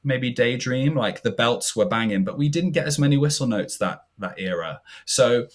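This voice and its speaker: A man's voice: medium pitch, animated, moderate pace. A man, co-hosting a podcast and explaining things in a chatty way with hesitant fillers. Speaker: podcast co-host. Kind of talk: casual podcast commentary.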